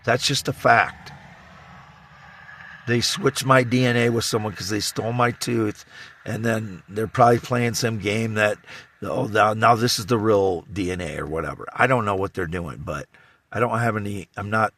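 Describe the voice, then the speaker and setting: A man in his fifties from Iowa with a Midwestern American accent and a scratchy voice, rambling in a halting, repetitive, insistent monologue. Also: on the low side, fairly bright tone. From Iowa, United States. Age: 50s. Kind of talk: rambling self-recorded monologue.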